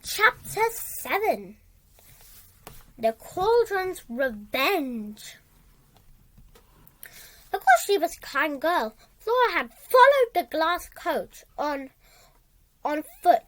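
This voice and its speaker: A woman reading a children's story aloud in a halting way, repeating and correcting words as she goes. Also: extremely high pitch, sing-song, fairly bright tone. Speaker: storybook reader.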